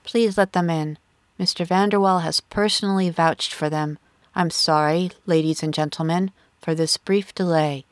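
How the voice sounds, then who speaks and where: A woman's voice: fairly low pitch, fairly even intonation, normal speed, dry recording, lightly acted. A woman voicing a courteous, formal auction director in an audiobook. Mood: courteous, brisk. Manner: polite, official.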